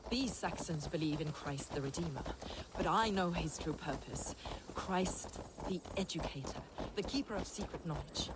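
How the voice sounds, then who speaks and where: An actress plays a devout, scholarly heretic woman from a video game drama. She speaks in measured, fervent phrases as if preaching secret doctrine. Clear ambient noise runs behind her voice. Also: lowish voice, natural voice.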